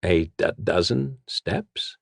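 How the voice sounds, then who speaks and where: A man's voice: fairly low pitch, a little slow, gravelly, a little mellow, dry recording, studio quality, pitched above the performer's own voice. Frightened, blustering old nobleman in a storybook, voiced by a male reader.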